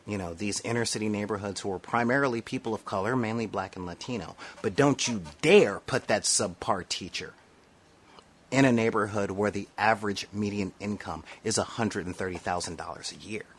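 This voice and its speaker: A man's voice: lowish voice, brisk, slight background noise. Nasal man ranting to camera. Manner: emphatic, rhetorical asides, frequent "all right?". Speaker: vlogger. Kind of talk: impassioned monologue to camera.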